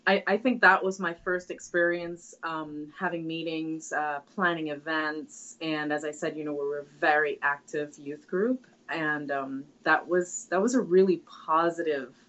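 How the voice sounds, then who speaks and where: A woman's voice: low voice, steady tone, a little slow, hardly any pauses, fairly bright tone. A woman, answering interview questions in an earnest, reflective way with frequent hesitations. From Trinidad and Tobago. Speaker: guest. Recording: dull, lo-fi sound.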